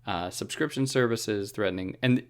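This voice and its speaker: A man's voice: fairly low pitch, moderate pace, clean tone. A man hosting a philosophy podcast, explaining a book in a casual, conversational monologue with wry asides and frequent restarts.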